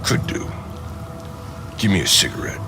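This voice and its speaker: An actor playing a bitter, sardonic otherworldly creature in a video game, with a breathy rasp and a halting, cryptic manner. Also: male voice, music in the background, close to an everyday voice. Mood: bargaining, sly. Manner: slow, halting, cryptic, dry humour. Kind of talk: video game cutscene acting.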